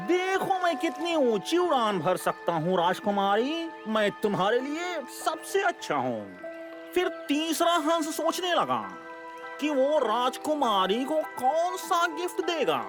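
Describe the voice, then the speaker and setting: A man voicing a sentimental, theatrical cartoon animal storyteller with a romantic heart and a drawn-out delivery. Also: extremely high pitch, heavily acted.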